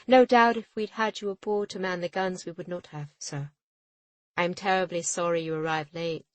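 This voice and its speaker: A woman voicing a proud, hot-tempered young woman ship captain, sharp and defiant. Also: mid-range voice, moderate pace, no room sound, natural voice. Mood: angry, defiant.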